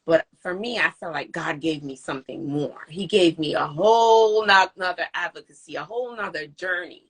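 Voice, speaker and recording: A woman's voice: fairly low pitch, normal speed, husky. A woman hosting a casual live-stream interview, talking earnestly and with feeling, acting out what others said to her. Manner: earnest, animated storytelling with emphatic quoted speech. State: recovering from voice loss. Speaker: interview host and parent advocate. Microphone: video call.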